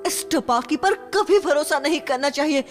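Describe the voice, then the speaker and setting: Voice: high voice, normal speed, faint music behind, lightly acted. An actor voicing a spirited, stubborn young boy in a jungle cartoon, eager and defiant in delivery. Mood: dismayed. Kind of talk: Hindi cartoon dub acting.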